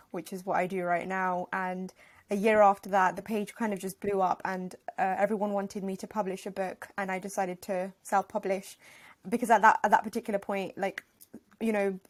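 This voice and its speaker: A young woman, a podcast guest talking openly and reflectively about her life. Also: medium pitch. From Afghanistan, raised in Northwest London. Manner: reflective, open, with frequent fillers and restarts. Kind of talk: casual interview chat.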